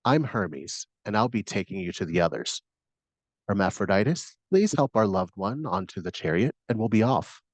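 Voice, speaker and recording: A man's voice: lowish voice, expressive, normal speed, no room sound, rough recording. A man voicing a friendly, welcoming Greek messenger god and father in a guided meditation story, with a hospitable, guiding manner. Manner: hospitable, instructing.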